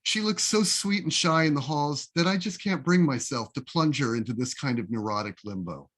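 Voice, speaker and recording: A man reading his own essay aloud as a literary reading, with a deliberate, wry, expressive storytelling delivery, recorded over a video call. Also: a little high. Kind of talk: literary reading, scripted first-person narration.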